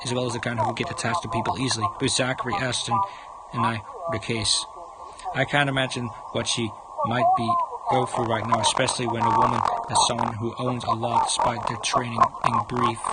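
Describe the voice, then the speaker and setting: Guy streaming a visual novel, reads out loud kinda halting, really noisy background. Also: mid-range voice, huge pitch swings, vocal fry. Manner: halting reading with stumbles. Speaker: game livestreamer. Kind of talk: reading a visual novel aloud with commentary.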